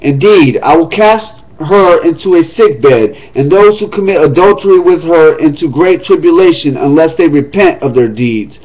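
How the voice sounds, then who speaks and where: A man's voice: a little high, animated, normal speed, hardly any pauses, lo-fi sound. A man reading the Bible aloud in an emphatic, declamatory manner, with casual touches. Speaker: scripture reader.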